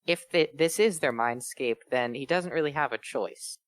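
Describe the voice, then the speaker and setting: A woman's voice: very deep voice, normal speed, no echo, studio quality. A woman bantering casually as a reader on a group read-along show. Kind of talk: casual group chat with riffing.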